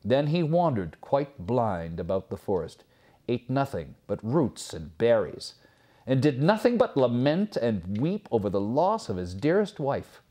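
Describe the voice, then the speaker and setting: A man reading a fairy tale aloud as a storyteller, expressive and theatrical, with measured phrasing. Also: medium pitch.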